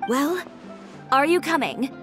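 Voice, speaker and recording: A woman's voice: high voice, expressive, slow pace. A woman voicing a cool, self-assured young boutique owner in a video game, blunt and curt. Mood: cool, pressing. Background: background music.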